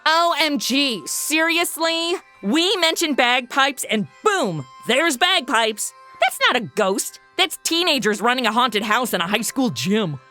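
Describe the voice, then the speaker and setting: A woman's voice: high voice, lively intonation, unhurried, few pauses, pushed and bright, soft background music, exaggerated voice. A woman with an American accent playing a brash, sarcastic, slangy modern young sidekick in a comedy audio drama. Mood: skeptical.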